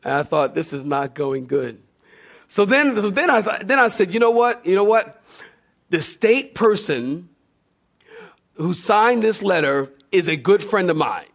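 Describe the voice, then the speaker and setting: Higher-voiced pastor telling a story. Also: male voice, a little slow, vocal fry. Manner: animated, humorous, self-deprecating. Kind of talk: sermon storytelling with re-enacted dialogue. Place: church, recorded live.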